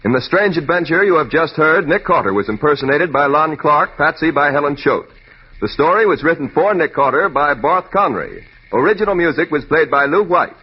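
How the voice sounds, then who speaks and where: Male American announcer, vintage 1940s radio, slightly high and husky, dull recording. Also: expressive, brisk.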